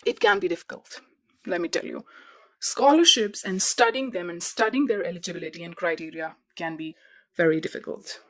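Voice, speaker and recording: A woman's voice: fairly low pitch, a little fast, fairly bright tone. A young woman hosting a podcast who explains things step by step in a repetitive way and talks straight to her listeners. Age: young adult. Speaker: podcast host. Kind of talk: advice talk.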